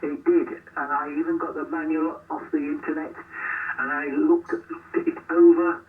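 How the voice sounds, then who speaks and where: A man's voice: a little high, level tone, moderate pace. A man coming through a shortwave radio transmission, an amateur operator chatting casually in a rambling, enthusiastic way. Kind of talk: casual chat over ham radio. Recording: noisy narrowband shortwave radio, distant.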